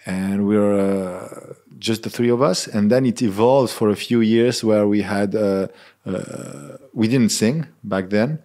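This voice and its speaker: A man's voice: a little slow, mellow. A man in his thirties from Switzerland, a band member talking casually in an interview, earnest and often restarting his sentences. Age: 30s. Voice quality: low-pitched voice. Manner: earnest, searching for words, frequent restarts.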